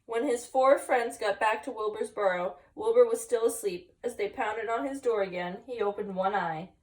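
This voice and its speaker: A woman with a fairly high voice reads a picture book aloud for children. She tells it expressively and drops in chatty little asides. She sounds a bit away from the microphone. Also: normal speed, distant mic, poor audio. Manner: expressive storytelling with chatty asides to young listeners. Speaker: story time reader, teacher.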